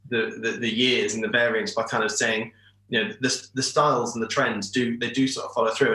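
A man's voice: on the low side, pushed and bright. A man in his forties from England, a shirt designer talking as a guest over a video call in a rambling, hesitant way with many restarts. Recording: echoey room over internet call.

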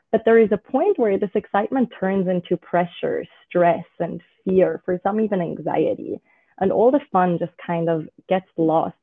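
A woman from Switzerland, a dating coach, explaining her ideas in a measured way as a podcast guest on a remote call. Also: fairly low pitch, vocal fry, breathy edge, no room sound. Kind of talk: podcast interview. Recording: tinny remote call audio.

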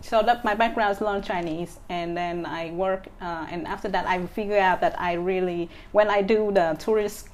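A woman's voice: lowish voice, steady tone, normal speed, few pauses. A woman in her thirties from Vietnam with a Vietnamese accent, speaking as an interview guest with many fillers and restarts. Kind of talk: casual interview.

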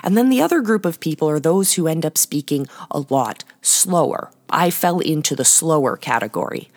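A woman hosting a podcast, giving instructional tips in a deliberate, emphatic way with pauses. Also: deep voice, lively intonation. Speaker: podcast host.

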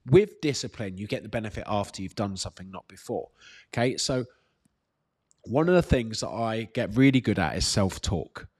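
A British man with a slightly congested voice, working as a fitness coach, answering questions casually and giving direct, encouraging advice with emphatic repetition. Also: lowish voice. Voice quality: slightly congested, bunged-up nose. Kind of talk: casual live Q&A, reading out questions and advising.

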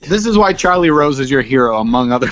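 A man co-hosting a comedy podcast in casual banter, animated and quick to act out little imagined exchanges. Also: mid-range voice, muffled recording. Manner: animated, acts out imagined dialogue. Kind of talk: casual comic banter with riffs.

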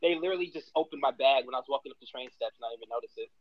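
A man from Brooklyn co-hosting a podcast in casual chat, animated, exclaiming and laughing often. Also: mid-range voice, very fast, no room sound. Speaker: podcast co-host. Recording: dull dry lo-fi sound.

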